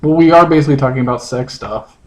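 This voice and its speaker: A man with a medium-pitched voice co-hosts a comedy podcast. He talks casually and haltingly, feeling his way through banter and readings of internet posts. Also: poor audio.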